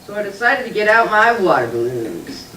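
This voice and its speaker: A woman's voice: medium pitch, big pitch swings, normal speed, a bit bright, recorded from a distance. A small, sulky boy puppet who whines and sniffles through his confession, as a woman puts him on. The voice is caught from afar, ringing around an echoey room with a faint hiss underneath. It has the plain sound of a church puppet show. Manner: whiny and sulky, cries in bursts.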